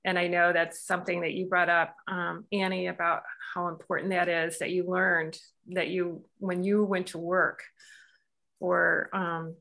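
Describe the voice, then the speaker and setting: A woman host with a flat, gravelly voice asks long questions that wander and stall on fillers. Her voice sits somewhat low. She keeps the talk casual and open. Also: unhurried. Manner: meandering, hesitant questions. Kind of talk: casual conversation.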